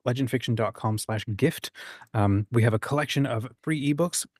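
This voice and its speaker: A man's voice: mid-range voice, normal speed, dry recording, clean studio sound. A man hosting a writing livestream in a casual, informal, rambling and enthusiastic way. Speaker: host of a writing community livestream.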